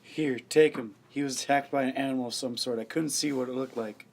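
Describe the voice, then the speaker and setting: A man's voice: mid-range voice, fairly even intonation, a little fast, creaky, voice pushed up. A man playing a commanding wilderness officer who is secretly a cold killer, in an amateur radio play. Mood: urgent, commanding. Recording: noisy amateur recording with background ambience.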